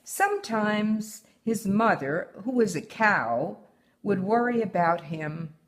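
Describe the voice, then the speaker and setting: Older woman, children's storybook read-aloud, very slow pace, lively rise and fall in pitch. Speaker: guest storybook reader. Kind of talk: unhurried read-aloud of a children's picture book.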